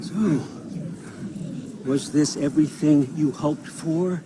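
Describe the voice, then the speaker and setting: A man's voice: on the high side, slow pace, noisy background. An actor playing a scheming, self-pitying old Norse god-king in a dramatic video game style, sardonic and grandiose. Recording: loud music behind the voice. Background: dramatic game score.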